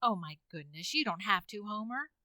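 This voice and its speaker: A woman's voice: medium pitch, animated, normal speed, sharp tone, no echo, studio quality. A woman playing a sweet, coy, gushing teenage girlfriend in an old-time radio comedy.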